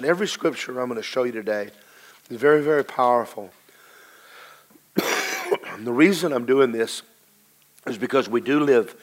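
A hoarse, low-voiced middle-aged man preaches from the pulpit, leaning hard on key words and stretching them out slowly. His pitch sits in an ordinary male middle range, but the hoarseness roughens every line. He swings between rising emphasis and quieter asides. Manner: emphatic preaching with slow drawn-out stresses. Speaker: pastor. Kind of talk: sermon.

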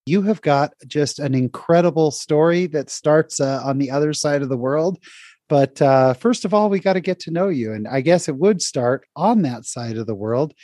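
A man's voice: on the high side, normal speed, dark tone, no echo, studio quality. Upbeat man hosting a podcast. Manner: upbeat and friendly, with frequent fillers. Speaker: podcast host. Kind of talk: podcast interview.

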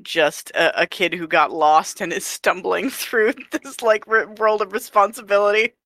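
Chatty podcast co-host w bright punchy voice, dead dry mic, laughs a lot. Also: mid-range voice, steady tone, moderate pace, no echo. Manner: chatty, laughs often, self-deprecating. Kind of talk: casual chat.

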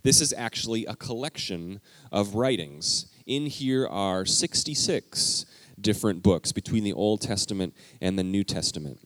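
A man preaching a conversational teaching sermon in a church, talking directly to the congregation with lively emphasis. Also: lowish voice, normal speed, no echo. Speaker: pastor. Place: church sanctuary.

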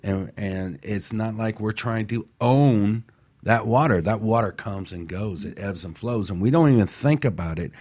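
A man's voice: lowish voice, moderate pace, darkish tone. A man talking casually and earnestly in a motivational radio discussion, often checking in with "right?". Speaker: radio talk show panelist.

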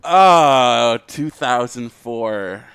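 A man's voice: mid-range voice, lively intonation, very slow, a bit bright, clean tone, no room sound. A man bantering casually as a podcast co-host and game contestant.

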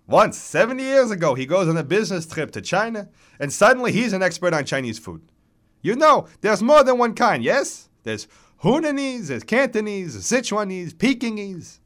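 An ancient, complaining New Yorker in a dramatized short story. The voice is high, reedy and chirpy, with a Yiddish-inflected accent. It jumps around in pitch with vigorous, snappy diction. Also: male voice, sing-song. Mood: indignant, needling. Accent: Yiddish-inflected New York. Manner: quick, vigorous, sharp diction.

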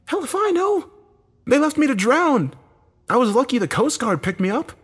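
A man's voice: fairly high pitch, animated, normal speed, slightly rough, voice pushed up. A man voicing a desperate, bitter man in a dramatic story, agitated, urgent and given to swearing. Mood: bitter, furious. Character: Josh, desperate bitter man, cornered game loser.

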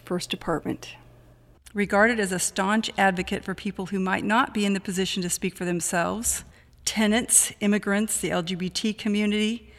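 A woman hosting a podcast as a law school dean, reading an introduction and then chatting with her guest. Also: mid-range voice. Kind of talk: podcast interview. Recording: gravelly voice, long reverb, faint music.

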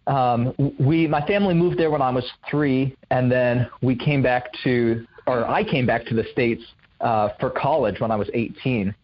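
A man's voice: medium pitch, moderate pace, dull audio. A young man raised in Indonesia, a guest author answering interview questions thoughtfully, with many fillers and restarts. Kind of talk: reflective interview conversation.

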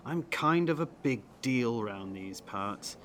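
A man acts out a pompous local businessman in an audio drama. His voice is bright and forceful, at a middling pitch. He comes across as boastful, sociable and very pleased with his standing. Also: lively intonation, slow pace, a little hiss or hum. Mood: self-important. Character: self-important male village businessman, boastful and sociable.

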